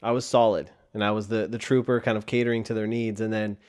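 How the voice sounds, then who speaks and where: A man's voice: fairly low pitch, level tone, fast, a little mellow, clean tone. Man podcaster with a sore, tired throat; casual rambling host delivery into a desk mic. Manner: rambling with frequent restarts. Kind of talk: casual solo podcast talk. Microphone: desk microphone.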